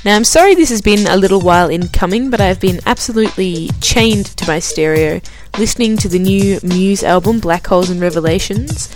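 A woman's voice: mid-range voice, brisk, few pauses, over music. An Australian woman hosting her own podcast, chatting in a self-deprecating way with filler words before reading a novel aloud. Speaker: podcast host. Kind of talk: casual chat, then reading a novel aloud.

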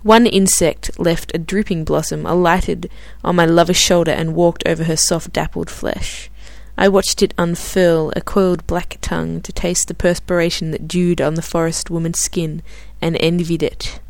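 Australian woman podcast host, lower voice, casual and self-deprecating, reads fiction aloud. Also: gravelly, darkish tone, no room sound. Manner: chatty and self-deprecating, with filler words. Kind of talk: casual chat, then reading a novel aloud.

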